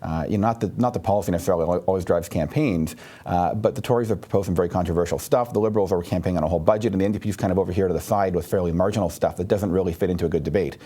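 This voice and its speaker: A man, a political columnist, giving quick, hedging analytical answers with frequent fillers, on a studio mic. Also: low-pitched, warm tone. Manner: rapid, hedging, many fillers.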